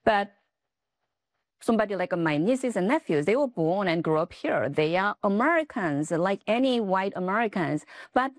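A woman speaks as an author in an interview, explaining her ideas with care. She often pauses and adds "right?" to check in. Her voice sounds close and dry. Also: mid-range voice, lively intonation, no room sound. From South Korea. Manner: thoughtful, explanatory, frequent tag questions. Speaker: author and guest. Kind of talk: interview answers.

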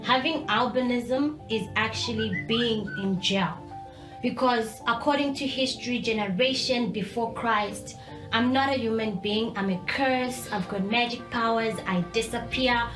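A woman's voice: on the high side, unhurried, roomy sound, over music, low-quality recording. A young woman from Zambia speaking candidly and earnestly about her own life. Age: young adult. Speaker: interviewee. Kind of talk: personal testimony.

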